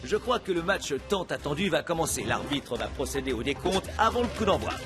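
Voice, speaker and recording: A man's voice: fairly high pitch, expressive, a little fast, over music, natural voice. A man voicing an upbeat, scheming young cat in a children's cartoon, talking like a confident showman. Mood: grand announcer. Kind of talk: animated children's series dubbing.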